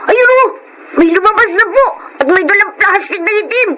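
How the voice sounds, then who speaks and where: A poor young Filipino child, affectionate and eager, speaks in a very high voice in radio drama style. Loud noise runs behind the voice. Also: female voice, moderate pace.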